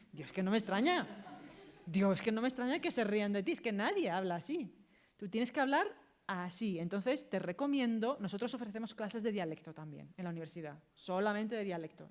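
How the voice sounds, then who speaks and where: A woman's voice: medium pitch, darkish tone. A woman speaks with an accent from Spain in a mid-range voice. She explains like a university lecturer taking questions, direct and practical, illustrating her points with short quoted examples. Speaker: university Arabic teacher and lecturer. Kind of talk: explanatory answer at a public lecture.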